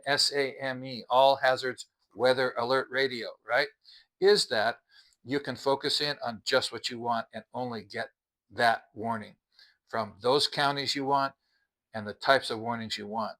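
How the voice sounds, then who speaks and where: A mature man talks through a product in a casual review style. His voice is bright and forward, sitting at an average male pitch. He explains things plainly and methodically, point by point. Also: a little slow.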